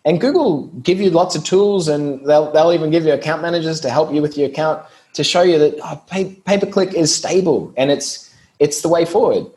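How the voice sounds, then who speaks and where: An Australian man, a guest on a podcast, talking through his business in a relaxed, explanatory way and laughing easily. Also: a little high, fairly quick. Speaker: guest, SEO business owner. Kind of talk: casual podcast interview.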